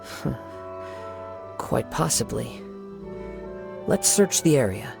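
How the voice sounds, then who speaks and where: A man voicing a calm young wandering samurai in a video game, soft-spoken, composed and reassuring. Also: medium pitch, slow pace, vocal fry, over music, full character voice.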